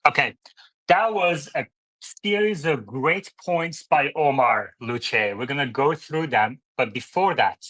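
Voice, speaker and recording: A man's voice: mid-range voice, expressive, measured pace, fairly bright tone, rough recording. A man hosting a live stream discussion, speaking informally and thinking aloud as he moderates. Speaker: host.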